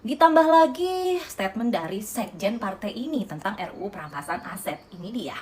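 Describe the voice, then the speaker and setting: A woman's voice: mid-range voice, lively intonation, normal speed, a little mellow, faint music behind. A woman host reads out news items and adds sarcastic remarks aimed straight at her viewers. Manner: sarcastic, addresses viewers directly. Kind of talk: reading news with sarcastic commentary.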